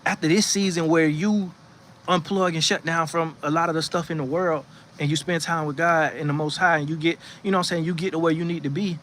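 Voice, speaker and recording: A man's voice: a little high, steady tone, fairly quick, fairly bright tone, a little hiss or hum. A man hosting a faith livestream from his porch, giving casual spiritual encouragement and reading the chat, with a chatty, preachy manner full of restarts. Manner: preachy and conversational, frequent restarts and "you know what I'm saying". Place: porch outdoors, sitting in a rocking chair.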